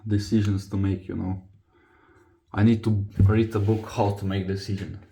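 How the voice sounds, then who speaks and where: Low, creaky young man with Croatian accent. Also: flat delivery, normal speed, warm tone. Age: young adult. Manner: hesitant, searching for English words. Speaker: vlogger, football player. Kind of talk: casual confessional chat to camera.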